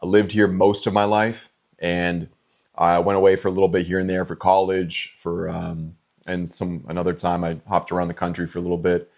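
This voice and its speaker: A man in his twenties from California, answering fan questions in a relaxed, chatty way with frequent ums and likes. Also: low-pitched, normal speed. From California, USA. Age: 20s. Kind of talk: casual Q&A vlog.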